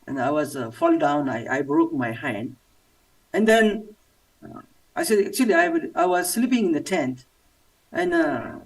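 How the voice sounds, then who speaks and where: A man from Nepal with a Nepali accent, giving a personal testimony in halting English with many fillers. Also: a little high, far from the mic, rough recording. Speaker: church member giving testimony.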